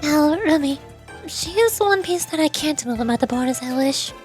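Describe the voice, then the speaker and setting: A woman voicing a composed, calculating young female student president in a game story, speaking in a measured, formal and aloof way. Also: high-pitched, expressive, darkish tone, soft background music. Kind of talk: video game story character dub.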